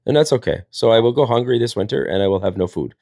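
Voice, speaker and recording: A man's voice: medium pitch, expressive, fast talker, gravelly, a little mellow, no room sound, studio quality. A man, telling casual comedic stories in a rambling, folksy, jokey way as a livestream host. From Wisconsin, United States.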